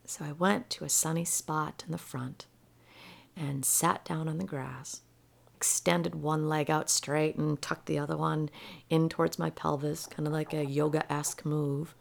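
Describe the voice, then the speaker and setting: A woman gently telling a personal story about healing a rescued dog, her voice soft and often dropping to a near whisper. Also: low voice, steady tone, gravelly. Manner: gentle and slow-paced. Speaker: animal healer.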